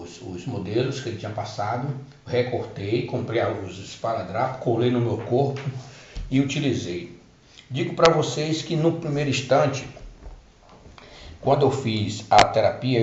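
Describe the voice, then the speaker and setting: A man's voice: medium pitch, a little mellow. A middle-aged man telling viewers about his spiritual treatment, speaking off the cuff with many restarts. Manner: unscripted, halting with restarts. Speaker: spiritual healing presenter. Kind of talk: personal testimony to viewers. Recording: roomy, dull lo-fi sound with faint noise.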